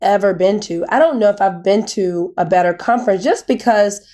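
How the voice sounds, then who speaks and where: A woman hosting a podcast, talking in a casual, animated way. Her voice is naturally raspy and hoarse from a cold. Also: mid-range voice, normal speed. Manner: animated, storytelling with "girl" asides. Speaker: podcast host. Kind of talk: casual chat.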